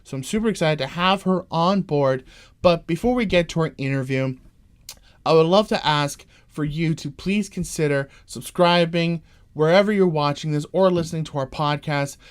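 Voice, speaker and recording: A man's voice: a little high, clear, resonant tone. A Canadian man hosting a podcast, eager and rambling with plenty of fillers. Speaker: podcast host. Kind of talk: enthusiastic podcast intro and interview.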